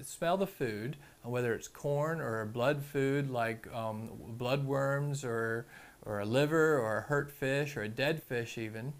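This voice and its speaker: Gravelly man explaining fishing. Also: medium pitch. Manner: relaxed, explains step by step with frequent ums. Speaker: fishing channel host. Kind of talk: casual instructional explanation to camera. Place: small indoor room at a table.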